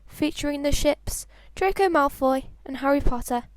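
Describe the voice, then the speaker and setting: A high-pitched female voice narrates fan fiction in an expressive, homemade audiobook style. The sound is dry and close, and she trips lightly over a word here and there. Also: moderate pace, dry recording.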